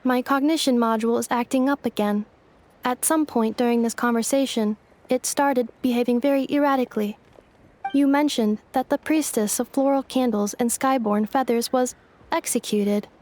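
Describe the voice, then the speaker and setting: A woman voicing a polite android maid in a video game, speaking in a calm, measured and formal way. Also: on the high side, a little hiss or hum, natural voice. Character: polite android maid unsure of her origins.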